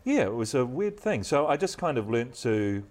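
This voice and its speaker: A middle-aged man with a deep voice and a New Zealand accent, chatting as a guest in a relaxed, modest, measured way. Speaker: guest, news presenter. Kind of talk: relaxed interview chat.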